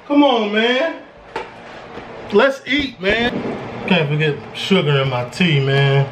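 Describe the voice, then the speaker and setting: An American man from Chicago in his thirties, chatting casually and boastfully in slang as an animated vlog host in a home kitchen. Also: high-pitched, slow pace. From Chicago, United States. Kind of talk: casual excited cooking vlog chat. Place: small home kitchen, indoors. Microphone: camera, handheld or on the counter. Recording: echoey kitchen, clearly noisy background.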